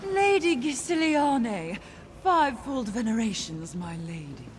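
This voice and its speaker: A fussy, fawning servant in a video game, her voice rising and falling in lively flourishes. She sounds eager to please her mistress and self-important with others. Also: on the high side, unhurried, faint music behind. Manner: obsequious, fussy. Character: fawning female noble servant.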